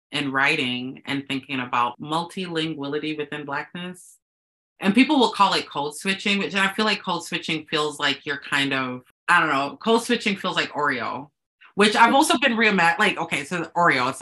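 A bright, clear-toned speaker with a blend of West Indian and American accent. They talk in an animated, storytelling way and swear casually. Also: mid-range voice. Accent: mixed West Indian and American. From United States, West Indian family. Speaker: guest. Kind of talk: casual chat.

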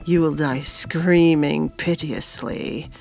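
A woman's voice: low voice, steady tone, gravelly, a little mellow, soft background music, pitched below the performer's own voice, heavily acted. A woman voicing a sadistic male monster, a dragon lord who taunts and gloats ominously in a fantasy audiobook. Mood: ominous threat. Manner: ominous, vicious, deliberate taunting. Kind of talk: audiobook fantasy villain dialogue.

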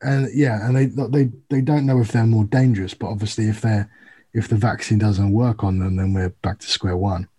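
Brief: man, mellow husky voice, earnest co-host who talks things through with examples. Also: on the low side, fairly even intonation, normal speed. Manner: earnest, hedging, explains with examples. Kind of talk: casual discussion.

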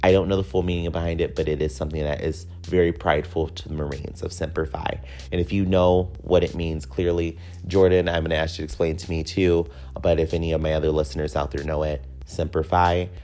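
A man's voice: extremely low pitch, flat delivery, brisk, darkish tone, soft background music. A man hosting a podcast, talking casually and candidly in a personal, conversational monologue with some casual swearing. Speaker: podcast host.

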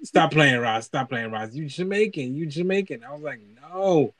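A man co-hosting a casual chat show, telling lively anecdotes and acting out the other people's lines. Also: fairly high pitch, moderate pace. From Haiti (parents), raised in the US. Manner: animated storyteller, acts out voices in anecdotes. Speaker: podcast co-host.

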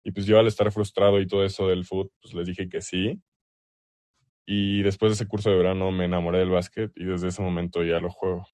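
A young man with a low-pitched voice and a Mexican accent speaks casually, as a player being interviewed. The sound is dry, with very little room around it. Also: little pitch movement, fairly quick, a little mellow, dry recording. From El Salvador.

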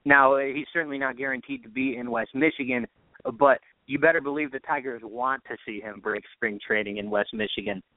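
A man's voice: mid-range voice, steady tone, a little fast, no room sound. Man on a tinny phone-like line. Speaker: podcast guest, radio play-by-play announcer. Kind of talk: casual sports analysis.

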